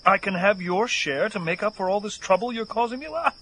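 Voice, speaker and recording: An actor playing a weary, desperate man on the run in an audio horror drama, halting and theatrical, musing with sardonic wit. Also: sits high, expressive, sharp tone, a little hiss or hum. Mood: incredulous, pleased. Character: weary desperate male fugitive, rambling, wry, unravelling.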